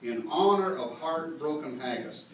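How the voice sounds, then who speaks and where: A man's voice: on the high side, animated, unhurried, fairly bright tone. A man reading a tongue-in-cheek mock history as a lecture to a gathering, with mock-earnest explaining and folksy asides. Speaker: speaker reading a humorous mock history at a clan meeting. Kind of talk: tongue-in-cheek comic lecture read to an audience. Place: indoor meeting room with live audience. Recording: very echoey room, distant mic, faint noise.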